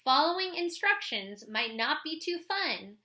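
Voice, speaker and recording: Lady doing an earnest little school kid telling his story, storybook singsong, high n bright, super animated. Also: a little slow, exaggerated voice. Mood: resolved. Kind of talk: children's picture book read-aloud.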